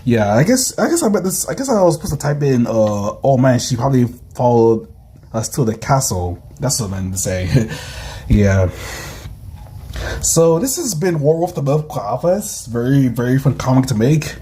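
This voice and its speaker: A comic creator with a husky voice reading their own comic aloud casually, rambling and repeating phrases as they comment. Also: mid-range voice, big pitch swings, mellow. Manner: rambling, halting, repeats phrases, acts out action with sound effects.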